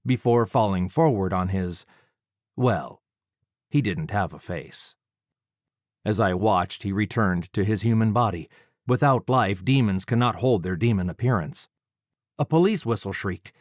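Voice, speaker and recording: A man narrating an audiobook from a script in a measured, formal way. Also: medium pitch, dry recording, clean studio sound. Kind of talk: scripted first-person narration.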